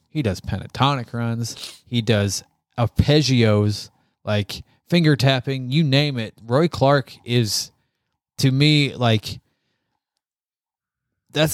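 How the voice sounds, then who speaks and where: Male co-host, medium pitch, dry recording, laid-out informally in halting, repetitive guitar chat. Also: no room sound. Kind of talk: casual guitar talk show chat.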